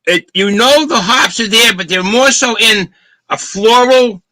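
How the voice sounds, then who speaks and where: A man with a thick Boston accent talks through a beer's flavor in careful, descriptive detail. His voice sits high and very bright, with a sharp edge. The sound is dry and close over a video call. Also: measured pace, no echo, poor audio. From Boston, Massachusetts. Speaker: beer reviewer, co-host. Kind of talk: casual chat, beer tasting review.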